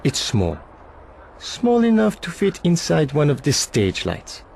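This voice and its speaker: A shady male barman informant in a video game. His voice is creaky and swings widely in pitch, guarded and edgy in manner. Noise runs behind him. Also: huge pitch swings, measured pace, darkish tone, full character voice. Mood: wary, bargaining. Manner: guarded, hesitant, shifty. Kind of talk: video game cutscene acting.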